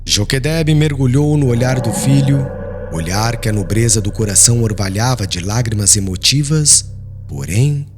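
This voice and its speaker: A man's voice: mid-range voice, moderate pace, music in the background. A man narrating a literary audiobook in a solemn, formal, dramatic storytelling style.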